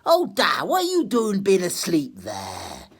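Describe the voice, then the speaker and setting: A woman's voice: fairly low pitch, wildly swooping pitch, unhurried, slightly rough, pitched above the performer's own voice, heavily acted. A man voicing a cheerful young working-class daughter who fondly scolds her father in a storytelling. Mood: teasing.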